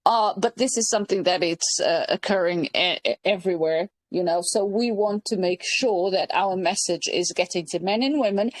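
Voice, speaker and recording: A woman's voice: lowish voice, dry recording. A woman from Portugal hosting a casual group video call, speaking hesitantly with many fillers and restarts. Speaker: channel host leading a group call.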